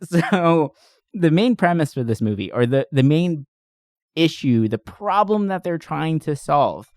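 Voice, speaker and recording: A young adult man hosting a podcast, recapping movies in a casual, comedic way with animated storytelling, swearing and slangy asides. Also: fairly high pitch, a little slow, no room sound, clean studio sound. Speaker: podcast host.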